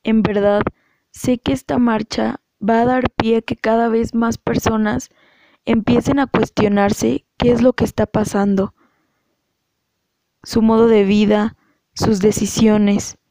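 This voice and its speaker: A woman with a Mexican accent giving an earnest, measured testimony as a guest speaker. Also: a little high, level tone, dark tone, no echo, poor audio. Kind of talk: prepared testimony.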